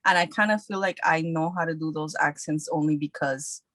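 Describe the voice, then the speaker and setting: A young woman talks in a loose, chatty way, her sentences full of filler likes. Her voice sits low for a woman, and the recording is dry and close with no room sound. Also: steady tone, brisk, fairly bright tone, no room sound. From New York City. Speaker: guest actress. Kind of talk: casual podcast chat.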